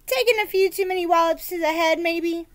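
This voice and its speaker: Woman putting on a high, suspicious village mother. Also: brisk, fairly bright tone. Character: sharp, suspicious village mother with bony fingers. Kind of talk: children's storybook read-aloud character voice.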